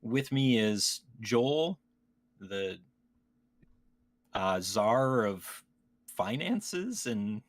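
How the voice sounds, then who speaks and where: A man's voice: lowish voice, big pitch swings, extremely slow, long pauses. A man hosting a casual podcast, chatting in a joking, playfully teasing way. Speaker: podcast host. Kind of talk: casual comedic podcast chat.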